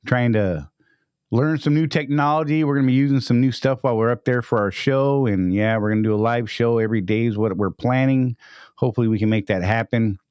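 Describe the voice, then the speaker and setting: A man's voice: mid-range voice, a little fast, darkish tone, clear, resonant tone, dry recording, muffled recording. A man hosting a casual live stream, upbeat and greeting chat viewers by name.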